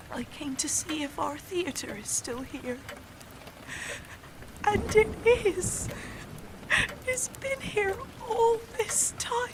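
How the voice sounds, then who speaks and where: A young woman playing a fragile, distraught, erratic young actress in a naturalistic audio drama, speaking in a halting, breathy, agitated way. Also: high voice, a bit bright, a little hiss or hum, poor audio. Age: young adult.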